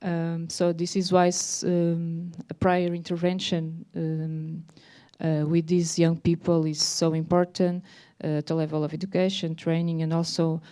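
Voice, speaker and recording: Female academic presenter, lowish pitch, warm dark timbre, formal but hesitant delivery. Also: completely flat delivery, measured pace, clear, resonant tone. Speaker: researcher presenting at a conference.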